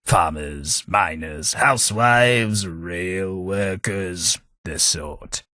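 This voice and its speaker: An actor playing an eccentric, long-lived aristocrat who rambles through wry, theatrical stories in a husky voice, for a video game. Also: male voice, bright, forceful tone, no echo, heavily acted. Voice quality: deep, husky, slow and theatrical. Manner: rambling storyteller, dry self-mocking wit. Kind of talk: game voice acting.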